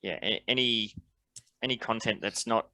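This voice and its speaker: A man hosting a podcast, talking in a relaxed, conversational way. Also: lowish voice, normal speed, no echo. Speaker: podcast host. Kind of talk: casual podcast chat.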